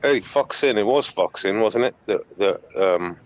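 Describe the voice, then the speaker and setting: A man's voice: on the low side, expressive, measured pace, muffled recording. A Welsh man hosting a live stream and commenting casually on riot footage, rambling with crude, sarcastic asides. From Wales.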